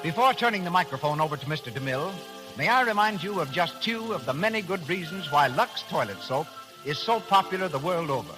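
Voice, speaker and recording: Guy doing old-time radio announcing, high voice, polished and declamatory, music behind him, 1930s broadcast. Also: animated, brisk, rough recording.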